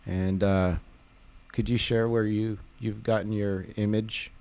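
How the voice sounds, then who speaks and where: A man in a band giving a casual interview, halting and tongue-in-cheek. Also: lowish voice, flat delivery, darkish tone, clean tone, no echo. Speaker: band member being interviewed.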